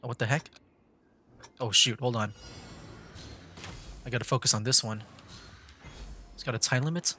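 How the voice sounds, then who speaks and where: A man's voice: mid-range voice, normal speed, pauses often, sharp tone, slight background noise. A man streaming a game, giving casual live commentary, thinking aloud with mild exclamations. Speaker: streamer, VTuber gamer. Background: faint game music.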